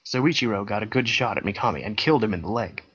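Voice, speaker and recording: A man narrating a comic fan-fiction story in a deadpan way, with casual asides, on a slightly dull recording. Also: lowish voice, fast, husky. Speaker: co-reader, narrator.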